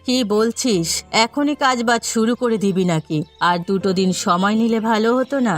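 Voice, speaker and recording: A woman's voice: fairly high pitch, moderate pace, soft background music, lightly acted. A woman playing a commanding, scheming rich lady. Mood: bossy, calculating. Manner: commanding, calculating. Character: scheming, bossy rich lady running thieves. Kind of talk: dubbed cartoon story acting.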